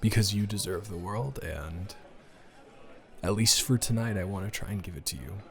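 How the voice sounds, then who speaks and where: A man playing a smitten young man in an improvised romantic audio roleplay, speaking to his casual lover in a hesitant, earnest, halting way. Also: on the low side, close to an everyday voice. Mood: hopeful, gentle.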